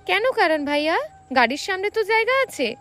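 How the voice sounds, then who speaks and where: A woman's voice: extremely high pitch, sing-song, brisk, fairly bright tone, soft background music, pitched above the performer's own voice, exaggerated voice. A woman narrator voicing a feisty, reluctant young romantic heroine who protests defiantly in an audio story. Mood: puzzled protest.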